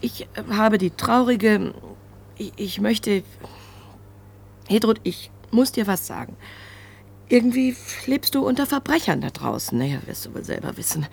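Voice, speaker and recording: A woman's voice: medium pitch, animated, a little slow, vocal fry, a little mellow, slight background noise. A woman playing a brusque, wry, nervy shopkeeper in a German crime radio drama, talking in a sardonic, colloquial, flustered way.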